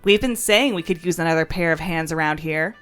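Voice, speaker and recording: A woman playing a bossy, sardonic alien mechanic in an audio drama, speaking briskly and sarcastically. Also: sits low, a bit bright, faint music behind. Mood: dry, explanatory. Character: dividing two-headed alien plasmoid mechanic, sardonic and bossy. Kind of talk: scripted audio drama dialogue.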